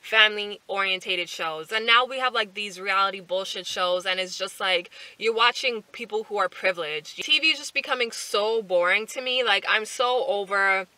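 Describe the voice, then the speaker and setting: A woman in her twenties chatting casually to camera from a parked car, animated and full of fillers and asides. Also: medium pitch, normal speed, few pauses, no echo, low-quality recording. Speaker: vlogger. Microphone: camera on the dashboard.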